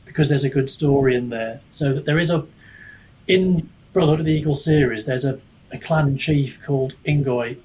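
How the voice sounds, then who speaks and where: A man's voice: medium pitch, moderate pace, darkish tone, slight background noise, rough recording. A man chatting reflectively as an author being interviewed, hesitant and self-deprecating, often wandering mid-thought. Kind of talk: casual reflective podcast chat.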